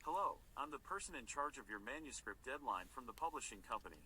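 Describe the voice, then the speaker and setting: A man's voice: medium pitch, animated, quick pace, sharp tone, distant mic, a little hiss or hum. A man playing a polite, formal publishing company manager in a scripted phone-call role play. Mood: polite, businesslike.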